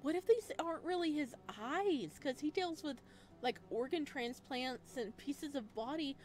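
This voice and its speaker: A woman doing a let's play, reading story text aloud and adding expressive, chatty reactions in a casual style. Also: high-pitched, unhurried. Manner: expressive, chatty asides between read passages.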